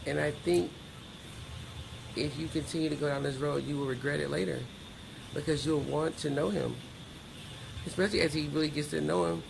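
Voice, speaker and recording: A woman's voice: low voice, steady tone, normal speed, creaky, pauses often, background noise. A middle-aged woman giving a candid interview as a podcast guest, speaking in a blunt, emphatic, plain-spoken way.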